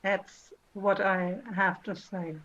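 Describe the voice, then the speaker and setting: Slow woman. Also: mid-range voice, flat delivery, fairly bright tone. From Germany. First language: German. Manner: slow, halting, simple phrasing. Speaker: participant. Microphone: video call. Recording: dull lo-fi call audio with faint noise.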